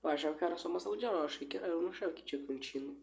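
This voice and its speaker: Female reactor, low pitch with gravelly fry, casual unscripted commentary. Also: very fast, a little mellow.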